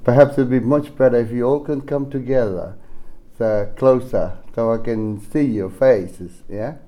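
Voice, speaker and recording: Slow-talking man with a Fijian accent. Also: medium pitch, dark tone. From Fiji. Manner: halting, with repeated self-corrections. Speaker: speaker sharing his story at a recovery meeting. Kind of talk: unscripted personal testimony to a group.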